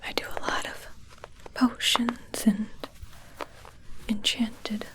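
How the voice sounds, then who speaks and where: A woman voicing a coolly menacing, condescending witch shopkeeper in an ASMR roleplay, in a soft breathy whisper with deliberate, halting phrases. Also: on the high side, level tone, low-quality recording. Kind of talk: ASMR roleplay, scripted monologue.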